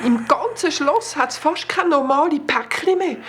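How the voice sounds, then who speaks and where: A woman's voice: sits high, animated, measured pace, a bit bright, slight background noise. A woman with a Swiss German accent playing an anxious old female teacher parcel in a children's radio play, in a fretful manner.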